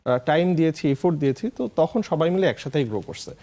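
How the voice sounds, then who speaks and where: A man's voice: fairly high pitch, expressive, quick pace, dark tone. A man with a Bangladeshi accent talking casually as an interview guest, often switching into English phrases. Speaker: interview guest, food vlogger.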